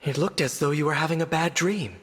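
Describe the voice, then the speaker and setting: An actor playing a kind, courteous young heroic spirit in a video game, patient and reassuring. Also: male voice, a little high, fairly quick, breathy edge. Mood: reassuring, calm. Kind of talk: scripted video game voice acting. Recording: long reverb on the voice.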